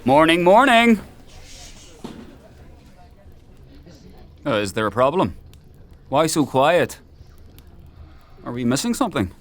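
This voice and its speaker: An actor playing a menacing, paranoid military paragon in a dark fantasy audio drama, mock-friendly and needling in his drawn-out questions. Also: fairly high pitch, sing-song. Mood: falsely cheerful.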